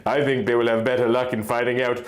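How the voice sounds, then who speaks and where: A man voicing a gentle, affectionate human wife in a fantasy story reading, measured and puzzled. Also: mid-range voice, level tone, lightly acted. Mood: puzzled, calm.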